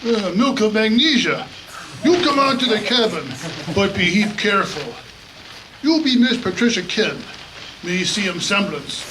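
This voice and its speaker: A man's voice: sits high, expressive, measured pace. A man acting in a live radio play as an ominous backwoods guide who speaks broken pidgin English, with noise behind him. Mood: wary.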